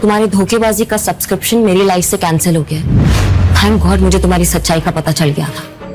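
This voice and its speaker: A woman's voice: on the low side, fairly even intonation, brisk, music in the background, lightly acted. A woman playing a feisty, outspoken young woman in an audio drama, sassy and slangy, mixing Hindi and English. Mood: sassy scorn.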